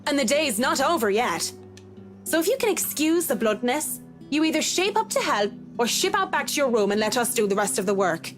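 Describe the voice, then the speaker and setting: Blunt, wry young-sounding woman, highish voice. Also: sing-song, a little fast, faint music behind. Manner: frank, casually sardonic. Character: blunt, wry female worker unafraid of her boss. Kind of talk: visual novel voice acting.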